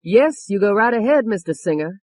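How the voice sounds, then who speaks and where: A woman's voice: fairly low pitch, slow pace, mellow, no echo, clean studio sound. A woman voicing a stern, impatient female judge in an audiobook, clipped, indignant and authoritative. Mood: icy restraint.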